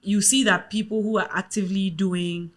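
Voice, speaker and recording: A woman's voice: medium pitch, fairly even intonation, moderate pace, soft background music. Earnest woman host. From Ghana. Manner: earnest, explanatory. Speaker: podcast co-host. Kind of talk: casual podcast chat.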